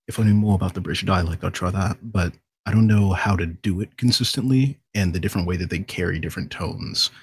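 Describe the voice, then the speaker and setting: A man's voice: low voice, husky, dry recording. A man talking casually as a voice actor guest on a podcast panel. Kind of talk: casual chat.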